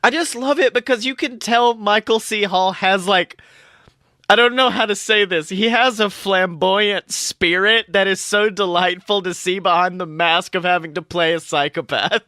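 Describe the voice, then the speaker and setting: A man co-hosting a podcast in casual pop-culture chat, animated, slangy and given to swearing. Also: high voice, normal speed, no room sound, studio quality. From United States. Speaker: podcast co-host.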